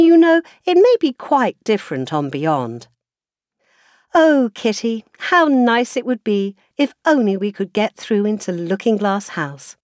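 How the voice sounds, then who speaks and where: Woman reading as eager, wistful little girl, lively pitch swings. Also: no room sound, studio quality. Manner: wistful, eager, musing aloud. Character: Alice, imaginative chatty little girl talking to her kitten. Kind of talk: children's storybook reading.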